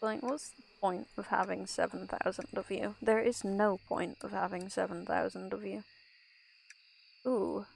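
A young woman from Ireland streams a game and chats in a slow, drawn-out way. Her voice carries a gravelly fry, and she rambles, restarts and trails off mid-sentence. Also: mid-range voice, steady tone, slight background noise. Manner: rambling, self-interrupting, laughs often. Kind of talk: casual gameplay chat.

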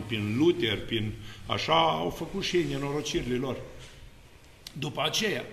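A middle-aged man, an Orthodox priest, preaching an unscripted sermon with emphatic swings between low musing and loud exclamations. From Romania. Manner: animated hand gestures while seated. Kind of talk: extemporaneous sermon. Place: seated before an iconostasis in a church. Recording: echoey church hall.

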